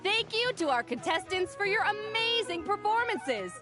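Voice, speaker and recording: An actress playing an upbeat female tournament announcer in a video game, interviewing the finalists in a bright, showy way. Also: extremely high pitch, very animated, moderate pace. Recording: game audio with music underneath.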